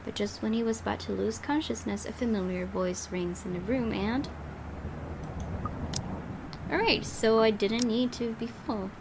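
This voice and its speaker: A woman's voice: fairly high pitch, unhurried, pauses often, background noise. A woman streamer reading a horror visual novel aloud, with joking asides between passages. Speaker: game streamer. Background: game music.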